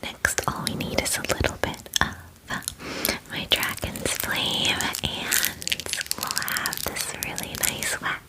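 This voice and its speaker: A woman voicing a friendly, bubbly dragon in an ASMR spa roleplay, speaking in a breathy whisper with gentle, reassuring, chatty bestie talk. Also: slow pace, lightly acted, rough recording. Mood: soothing. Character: friendly, bubbly female dragon giving a soothing spa treatment.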